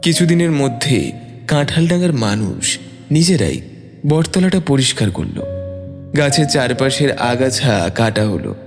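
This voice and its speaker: A male narrator tells a dramatic story with a lively, swinging pitch. His voice rings in long reverb over a music bed.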